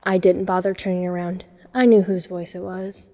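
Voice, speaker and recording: A woman's voice: on the low side, level tone, normal speed, mellow, long reverb tail, close to an everyday voice. A young woman playing an introspective, self-conscious teenage girl who narrates her own story in an audio drama. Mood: wry, wistful. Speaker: narrator and lead character. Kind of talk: first-person dramatic narration.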